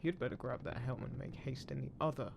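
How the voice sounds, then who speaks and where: A man playing a roguish, smug, gruffly caring undead pirate captain in a roleplay audio drama, teasing and menacing, speaking unhurriedly to the listener. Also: mid-range voice, steady tone, fast talker, noisy background. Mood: smug, taunting. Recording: constant ship creaking ambience behind voice. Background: creaking wooden ship sound effects.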